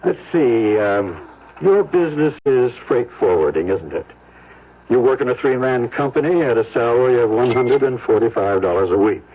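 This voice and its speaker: An American man acting in an old-time radio drama as a sly, condescending negotiator who needles with dry, deliberate pauses. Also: mid-range voice, animated, slight background noise, close to an everyday voice. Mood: sly needling. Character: sly, condescending lawyer-like negotiator for rich woman. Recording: muffled old radio broadcast.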